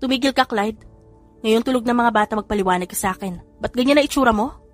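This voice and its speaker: A woman voicing an exasperated young mother who scolds the man she loves, in a high emphatic voice. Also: fast talker, faint music behind, natural voice. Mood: scolding. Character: exasperated loving young mother, sharp-tongued.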